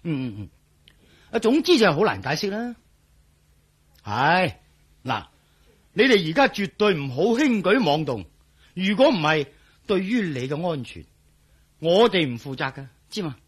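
An actor playing a worried, blustery police officer in a radio drama, speaking Hong Kong Cantonese with emphatic, sighing delivery. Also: male voice, on the high side, sing-song, moderate pace, long pauses, husky. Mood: serious, worried.

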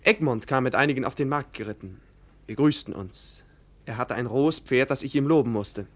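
Casting: man playing obedient young aristocrat, medium-pitched, earnest and formal, vintage radio drama. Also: normal speed, fairly bright tone, close to an everyday voice. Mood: earnest, open. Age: young adult. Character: dutiful, open-hearted young nobleman, obedient son. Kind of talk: 1940s German radio play acting.

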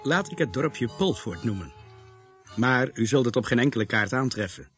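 An actor narrating a radio drama in the first person as a reflective man recalling grim events, measured and brooding. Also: mid-range voice, expressive, fairly bright tone, faint music behind, lightly acted. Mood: grave, reflective.

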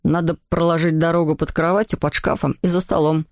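Storybook role: woman as a teasing older brother, young, smug and bossy, pitched down. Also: fairly even intonation, a little fast, darkish tone, no echo, studio quality. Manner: taunting, smug.

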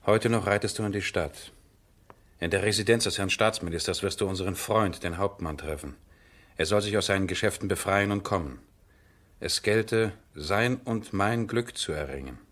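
Casting: resolute baron, deep-pitched, terse, brooding, used to command, 1970s radio play. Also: male voice, moderate pace, a bit bright.

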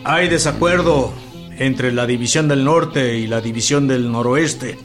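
A man with a Mexican accent playing a courteous, deliberate revolutionary army general in a radio drama. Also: medium pitch, lightly acted. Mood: diplomatic. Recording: roomy echo, loud music underneath.

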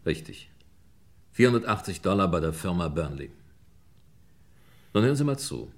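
A shrewd police inspector in an old radio crime play. His voice sits fairly low, and he questions deliberately, with an impatient, commanding edge. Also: very animated. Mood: probing, pressing. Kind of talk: mid-century German radio crime drama acting.

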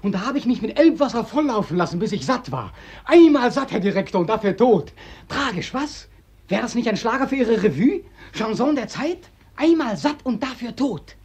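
An actor playing a bitter, haunted young drowned soldier in a mid-century radio drama, mockingly deferential, accusing and anguished. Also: male voice, very high voice, big pitch swings, normal speed, a little mellow, slightly rough, full character voice. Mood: accusing, despairing. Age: young adult. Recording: dull, band-limited old radio recording.

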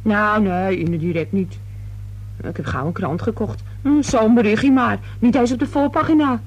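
An actress as a chatty young cleaning girl, husky. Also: mid-range voice, normal speed, dull audio, lightly acted. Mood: chatty, agitated. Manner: gabby, colloquial, indignant. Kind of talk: radio play acting.

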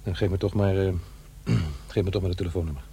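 A man's voice: sits low, fairly even intonation, fast, vocal fry, warm tone. An actor playing a regretful, lonely middle-aged divorced father in a radio play, speaking hesitantly and searching for words. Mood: hesitant, doubting. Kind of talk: Dutch radio drama acting. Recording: noisy, humming radio play recording.

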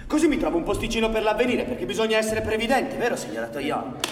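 Cocky foul-mouthed outlaw, taunting, swoops up and down, recorded far off. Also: male voice, high-pitched, sing-song, fast. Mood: mocking. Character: brash, vulgar male outlaw, taunting and defiant. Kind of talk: live stage theatre acting. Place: theatre stage, recorded from afar. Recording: distant, echoey theatre recording with background noise.

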